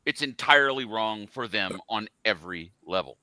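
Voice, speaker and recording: Bright, animated man giving blunt opinions. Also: fairly low pitch, normal speed, no room sound, studio quality. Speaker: podcast panelist. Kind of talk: casual opinionated music discussion.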